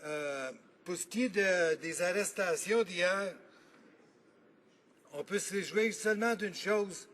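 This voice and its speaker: High-voiced Quebec French man in debate. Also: a bit bright. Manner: earnest, argumentative, thinking aloud with frequent pauses. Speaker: member of parliament. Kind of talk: parliamentary debate speech. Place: legislative chamber.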